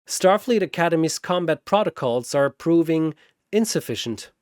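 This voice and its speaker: A curious male android acted by a male narrator, pitched a bit high. He is polite, analytical and formal, picking each word precisely in a dry story recording. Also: animated, moderate pace, a little mellow, dry recording, natural voice. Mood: detached curiosity.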